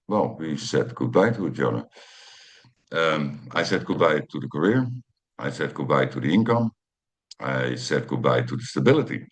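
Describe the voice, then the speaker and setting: A man in his fifties with a Dutch accent and an extremely low, deep voice. He talks English as a second language, slowly building a personal story with frequent ums and uhs as he checks his notes. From Netherlands. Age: 50s. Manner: hesitant, many ums and uhs, reads from notes. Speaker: channel host.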